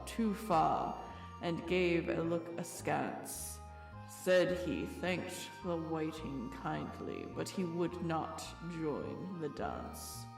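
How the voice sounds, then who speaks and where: An actor playing a mournful, solemn turtle creature in an audio drama, speaking in a slow, drawn-out way. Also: medium pitch, vocal fry, exaggerated voice. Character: sorrowful old Mock Turtle, slow, sighing and solemn. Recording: roomy, distant mic, music underneath.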